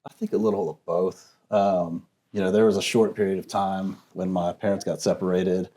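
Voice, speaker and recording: A man speaking as a guest in a discussion, reflective and soft-spoken, with many pauses and fillers. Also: low-pitched, flat delivery. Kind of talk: casual discussion.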